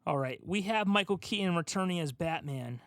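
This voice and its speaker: Male podcast co-host, higher-pitched voice, informal and animated, recorded close and dry. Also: brisk, no echo. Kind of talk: casual chat.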